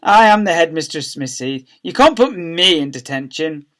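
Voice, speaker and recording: Bloke doing a sweet dotty ancient headmistress in a storybook, raised pitch, cheery and polite. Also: male voice, lively intonation, moderate pace, pushed and bright. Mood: amused. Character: dotty, kindly, very old headmistress.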